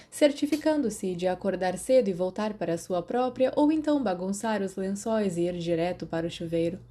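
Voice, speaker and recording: Mellow Brazilian woman narrating a story. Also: on the low side. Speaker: audiobook narrator.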